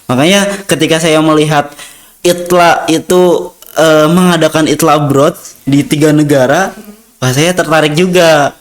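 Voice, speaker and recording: A man's voice: a little high, fairly even intonation, moderate pace. Chatty young Indonesian man. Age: young adult. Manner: rambling with many fillers, acts out dialogue. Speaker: interview guest, student. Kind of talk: casual storytelling interview.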